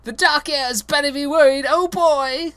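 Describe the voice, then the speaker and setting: A defiant teenage boy wizard played by a comic reader, pitched high and loud. He declaims with earnest bravado, as in a parody storybook. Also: measured pace, dry recording. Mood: boastful yelling. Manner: declamatory, yelling.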